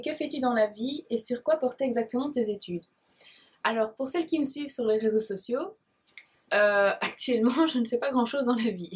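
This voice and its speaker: Woman, twenties, Belgian French accent, husky-soft voice, shy, hesitant, casual vlog talk. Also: fairly high pitch. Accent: Belgian French, Liège province. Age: 20s. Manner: hesitant and shy, many fillers and restarts. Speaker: beauty blogger and vlogger. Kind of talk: casual talk to camera, answering viewer questions.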